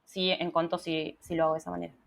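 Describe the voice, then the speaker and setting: A young Argentine woman with a low, fairly even voice, talking calmly as a guest in an interview. She thinks out loud and often restarts her words as she goes. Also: fast, gravelly. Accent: Argentine Spanish. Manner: thoughtful, many restarts and repeated words. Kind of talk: casual interview conversation.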